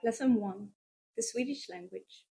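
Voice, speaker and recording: A woman reading scripted narration for a language lesson in a calm, reassuring way, explaining step by step. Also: fairly high pitch, a little slow, over loud music. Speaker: course narrator.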